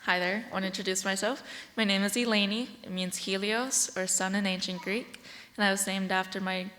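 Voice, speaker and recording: A teenage American girl delivers a prepared speech from a stage. Her voice sits at a middle pitch, earnest and deliberate, and rings with the long reverb of a large hall. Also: fairly even intonation, a bit bright, slight background noise. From Washington State, United States. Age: teens, about sixteen. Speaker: youth advocate and speaker.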